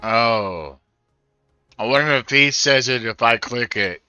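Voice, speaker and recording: An older man gives casual commentary on a game he is playing. His voice is very bright and clear, and he speaks slowly. The recording is close but somewhat band-limited. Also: mid-range voice, no room sound, lo-fi sound, faint music behind. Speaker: gamer doing a first-time playthrough.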